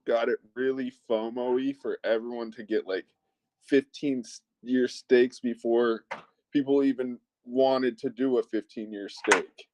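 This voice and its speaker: A man's voice: mid-range voice, steady tone, measured pace, darkish tone, no room sound, rough recording. Young man rambling with lots of "like". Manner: rambling, heavy use of "like". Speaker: chat participant. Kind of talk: casual voice chat.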